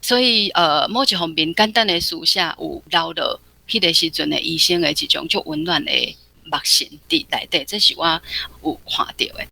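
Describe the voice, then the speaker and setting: A radio guest from Taiwan reflecting on a novel, speaking thoughtfully with frequent pauses. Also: medium pitch, gravelly, pushed and bright. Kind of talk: literary commentary.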